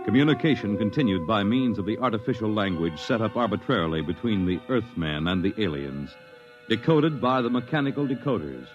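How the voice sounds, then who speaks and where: A man narrates an old radio drama in a low, measured voice. The recording is dull and muffled, and music plays clearly behind him. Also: animated.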